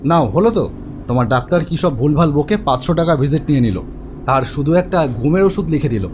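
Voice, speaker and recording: A man playing an exasperated husband who runs a trucking business and tells his own story in an animated horror tale, talking conversationally. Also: on the high side, fast talker, slight background noise, lightly acted. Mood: irritated. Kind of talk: Bengali animated horror story acting and narration.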